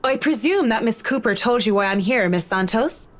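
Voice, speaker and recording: A woman playing a reserved, formal professional architect in a visual novel, with measured, precise diction and a coolly critical temper. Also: a little high, expressive, close to an everyday voice. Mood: cool, pointed.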